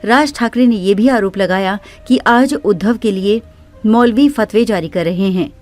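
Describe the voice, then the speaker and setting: Female news narrator, mid-pitched, formal scripted delivery, music bed underneath. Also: measured pace, darkish tone. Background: background music.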